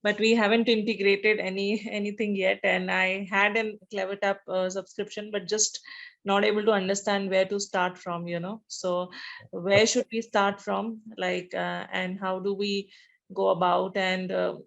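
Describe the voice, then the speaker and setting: Woman on a call asking the presenter stuff, kinda monotone and creaky, lots of like and you know. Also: medium pitch, lo-fi sound. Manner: halting, searching for words, "like" and "you know". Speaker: app founder asking a question. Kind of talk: webinar audience question. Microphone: video call.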